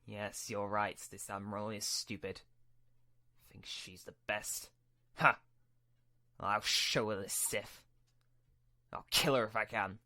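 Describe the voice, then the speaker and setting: A man playing a scheming, imperious Sith lord in a Minecraft roleplay, speaking in halting, deliberate phrases. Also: on the low side, fairly even intonation, bright, forceful tone, no echo, heavily acted. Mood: scornful, plotting. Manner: halting, deliberate, with drawn-out pauses. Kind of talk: hobby Minecraft roleplay acting.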